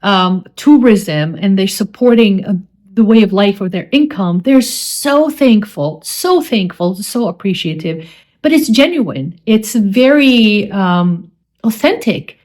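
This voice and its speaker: A woman with an Arabic accent, hosting a solo podcast and telling travel stories in an animated way with many restarts and fillers. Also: mid-range voice. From Saudi Arabia. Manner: animated, fast-talking with frequent restarts and fillers. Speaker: podcast host.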